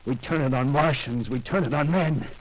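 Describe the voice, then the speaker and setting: An actor playing a rough, power-hungry soldier in a 1930s radio drama, talking in coarse streetwise slang and pressing his point. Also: mid-range voice, moderate pace, a little hiss or hum, close to an everyday voice, poor audio. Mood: scheming.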